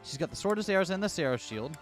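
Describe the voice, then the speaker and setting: A man's voice: sits high, lively intonation, fast, faint music behind. A man doing casual live gameplay commentary as a streamer, with joking, playful asides. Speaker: game streamer.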